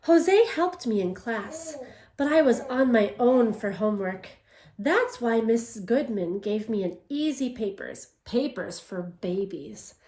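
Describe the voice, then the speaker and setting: A woman teacher reads a children's picture book aloud, first in English, then in Spanish. Her voice sits a little high. She speaks expressively and turns to her young listeners with questions. The sound carries a noticeable room echo. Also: animated, slow pace, dark tone. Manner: alternates English and Spanish readings. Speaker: elementary school teacher. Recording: echoey room.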